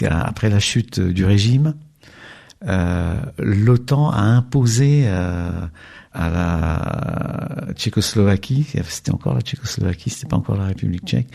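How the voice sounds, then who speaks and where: A man's voice: lowish voice, creaky, warm tone. An older man from Czechia with a faint Czech accent, a documentary filmmaker talking in a radio interview, hesitant with many fillers and restarts.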